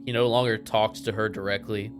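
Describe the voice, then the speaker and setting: A man's voice: fairly low pitch, flat delivery, fairly quick, music in the background. A man chatting casually as a player in a tabletop game.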